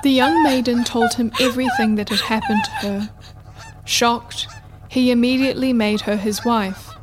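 A woman reading scripted narration for a radio play in a measured, deliberate way. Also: fairly high pitch, big pitch swings, noisy background.

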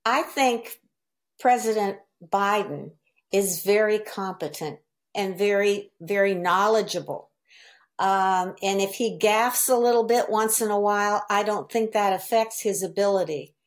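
A woman's voice: mid-range voice, a little slow. Woman in her seventies, American accent. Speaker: voter giving opinion. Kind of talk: informal interview testimony.

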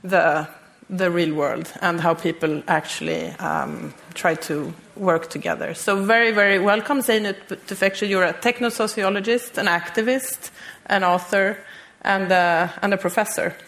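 A woman's voice: lowish voice, steady tone, moderate pace, creaky, fairly bright tone. A woman hosting a live podcast on stage, introducing guests with frequent ums. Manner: fluent with frequent filler ums. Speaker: podcast host. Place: stage before a live audience.

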